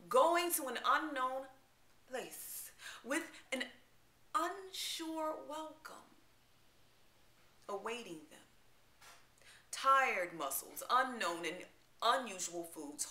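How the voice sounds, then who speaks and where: A woman giving a dramatic spoken-word poetry recital, theatrical and emphatic, with deliberate pauses. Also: mid-range voice, bright, forceful tone, slight background noise. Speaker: poetry reader. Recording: roomy, echoing live-room sound.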